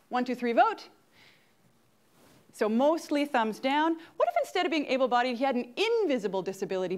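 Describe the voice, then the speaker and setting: A woman in her forties with a Canadian accent, giving an interactive lecture on an auditorium stage and often checking in with the audience. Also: on the high side. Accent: Canadian English. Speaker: guest speaker at grand rounds.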